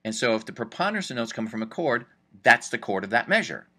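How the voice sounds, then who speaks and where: A man's voice: mid-range voice, very animated, quick pace, pushed and bright, husky. A man teaching music theory in a chatty, upbeat way, thinking aloud as he goes. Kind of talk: casual screen-recorded lesson.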